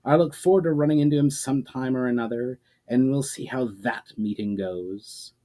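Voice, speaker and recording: A man voicing a proud, sharp, defiant sorceress in formal speech for a dramatic fantasy story reading. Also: medium pitch, moderate pace, close to an everyday voice. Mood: cool, needling. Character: proud, sharp, defiant woman wielder of magic.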